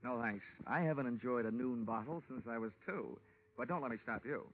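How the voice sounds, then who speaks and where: An actor playing a wry, cool-headed insurance investigator in a hard-boiled, wisecracking 1940s radio detective style. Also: male voice, medium pitch, a little fast, lightly acted. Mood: dry, wry. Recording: tinny, band-limited 1940s radio recording.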